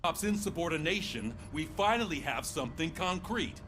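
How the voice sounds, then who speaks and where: An actor playing a serious, determined police officer in a video game, briefing in a businesslike, matter-of-fact way. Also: male voice, on the high side, animated, normal speed, noisy background, lightly acted. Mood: focused, serious. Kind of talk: video game cutscene acting.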